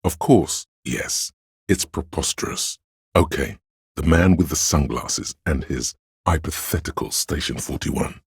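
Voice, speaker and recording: Grave omniscient inner-voice narrator for a detective game: extremely low, heavily rasped, measured and literary, close dry sound. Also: male voice, dry recording, full character voice. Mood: intimate, musing. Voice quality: very deep gravelly husky rasp.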